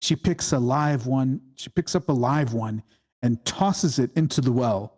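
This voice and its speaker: A mid-pitched narrator reads screenplay stage directions aloud at a table read. The delivery is slow and deliberate, broken into short phrases. The voice has a husky, unpolished grain. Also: darkish tone. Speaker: screenplay reader.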